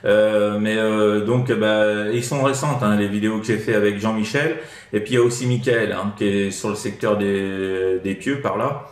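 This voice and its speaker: A man's voice: lowish voice, fairly even intonation, moderate pace, reverberant. A man chatting casually as a radio operator, hesitant and repetitive, often tagging his phrases with small filler words.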